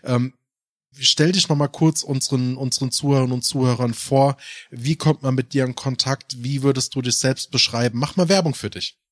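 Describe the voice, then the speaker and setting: A man's voice: medium pitch, steady tone, normal speed, no echo, clean studio sound. A man hosting a podcast in casual talk, with a friendly, appreciative manner. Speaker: podcast host.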